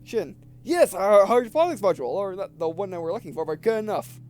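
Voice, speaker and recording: A man in his twenties giving casual, halting let's play commentary, often repeating phrases as he goes. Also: high voice, very animated, darkish tone, soft background music. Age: 20s. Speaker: gaming video host. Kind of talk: casual let's play commentary, reading game text aloud.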